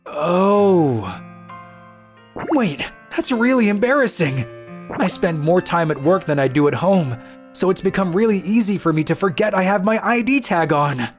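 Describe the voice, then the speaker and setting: A man's voice: high voice, lively intonation, unhurried. A man voicing a self-deprecating young office worker, narrating and acting out his own manga story. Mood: embarrassed. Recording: music under the voice.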